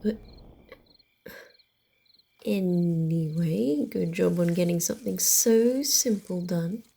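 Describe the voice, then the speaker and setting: Girl doing a sardonic vampire lady for asmr roleplay, slow and breathy, kinda mellow, condescending, half whispering. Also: lowish voice, exaggerated voice. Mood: grudging annoyance. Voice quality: soft, breathy, often slipping into whisper. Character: haughty, sardonic female vampire, grudgingly teasing her human companion. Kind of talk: ASMR roleplay acting, close and intimate.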